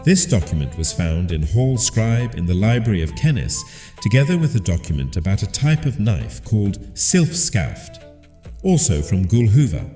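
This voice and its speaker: A man's voice: lowish voice, big pitch swings, normal speed, mellow, echoey room, faint music behind. A man narrator giving formal, explanatory scripted commentary in the style of an archivist.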